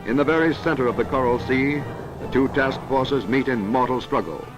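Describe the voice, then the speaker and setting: A man's voice: mid-range voice, brisk, over loud music, poor audio. An American man narrating a scripted wartime newsreel in a dramatic, declamatory, patriotic manner, on a vintage 1940s soundtrack.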